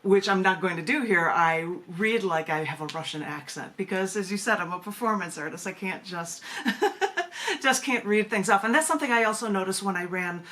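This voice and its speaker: A woman's voice: medium pitch, animated, moderate pace, a bit bright. A woman talking as a radio guest over a video call, chatty and given to laughing and restarting sentences. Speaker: poet and magazine editor, guest.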